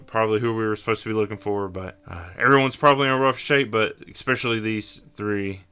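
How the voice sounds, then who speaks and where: A man's voice: fairly low pitch, quick pace, sharp tone, smooth. A man voicing an earnest, weary creature team leader, giving hesitant instructions in a tabletop roleplay. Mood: serious concern.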